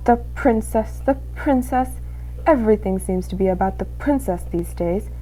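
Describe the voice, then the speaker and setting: A woman's voice: medium pitch, normal speed, mellow, soft background music, lightly acted, rough recording. A woman voicing a sly, cruel male rat villain in a storybook, falsely courteous and drawing out the words in an insinuating way. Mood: oily, mock-friendly.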